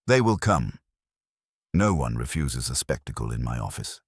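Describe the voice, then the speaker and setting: A man playing a very deep, imperious detective genius. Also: big pitch swings, normal speed, slightly rough, no room sound, studio quality, exaggerated voice. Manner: pompous, formal, commanding. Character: big, imperious, pedantic male detective genius.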